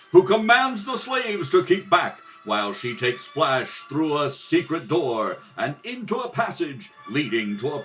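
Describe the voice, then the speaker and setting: A man's voice: a little high, a bit bright. A man narrating a scripted radio serial in a dramatic style, recorded at home. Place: home kitchen table. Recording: distant home rehearsal recording.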